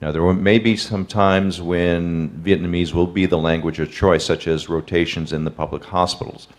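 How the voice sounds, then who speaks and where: A man, a professor, answering questions in a webinar over a video call in a measured, explanatory way. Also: sits low, steady tone.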